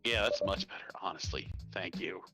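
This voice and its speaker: A man's voice: deep voice, moderate pace, music in the background. A man bantering casually as a co-player in a game playthrough, with a teasing manner. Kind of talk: casual playthrough banter, some character lines read aloud.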